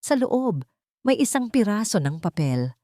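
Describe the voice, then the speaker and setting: A woman's voice: medium pitch, sing-song, unhurried, warm tone, no echo, clean studio sound. A woman with a Filipino accent reading a dramatic first-person story as an audiobook narrator.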